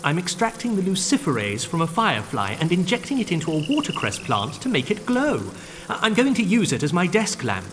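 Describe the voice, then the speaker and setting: Fussy, animated insect scientist. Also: male voice, high voice, brisk, background noise. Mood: absorbed, dismissive. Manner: pedantic, eager. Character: fussy, nerdy male insect scientist, absorbed in experiments. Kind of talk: audio drama acting.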